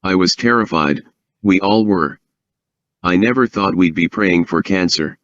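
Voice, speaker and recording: A man's account is delivered as a prepared reading in a synthesized text-to-speech voice, fluent and even with no fillers. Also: deep voice, measured pace, muffled recording. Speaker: author and guest.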